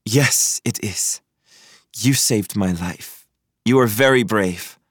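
Man voicing a composed Lebanese woman, pitched high. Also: husky, dry recording, clean studio sound. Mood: gentle, grateful. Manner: formal, deliberate phrasing without contractions. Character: composed, forceful Lebanese woman, a politician's wife. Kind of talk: audiobook character voice.

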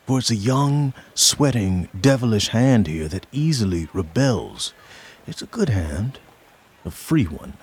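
An actor playing a jealous, suspicious army general in a radio play, speaking slowly and intensely, with sudden whispers and shouts. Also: male voice, lowish voice, very animated, breathy edge, full character voice.

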